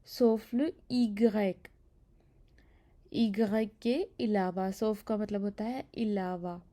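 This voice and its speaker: A woman teacher giving an instructional language lesson, explaining step by step in a deliberate, repetitive way and carefully enunciating examples. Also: mid-range voice, mellow. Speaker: French teacher.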